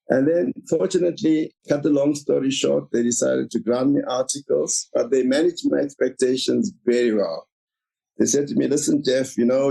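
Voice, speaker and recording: A man whose first language is Afrikaans, a guest author telling his story in a measured, reflective way in an interview. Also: medium pitch, creaky, mellow, poor audio.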